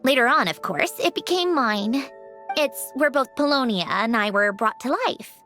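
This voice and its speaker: A young female automaton from a video game, with a fairly high voice and a lively, swinging melody. She is wry and reflective, speaking articulately and matter-of-factly over music. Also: moderate pace. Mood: fond, matter-of-fact. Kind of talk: video game character acting.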